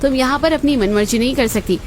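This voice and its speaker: A woman's voice: high voice, fast, a little hiss or hum, close to an everyday voice. A woman playing a spiteful, bossy young sister-in-law in a cartoon family drama, scolding and commanding in a haughty way.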